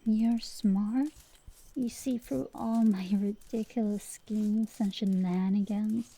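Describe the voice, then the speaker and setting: A woman playing a brash but secretly tender dragon girl sorceress in an intimate ASMR roleplay, soft and sometimes whispering, teasing and affectionate, close to the mic. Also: mid-range voice, fairly even intonation, slow. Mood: tender, sincere. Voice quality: soft, breathy whisper at times.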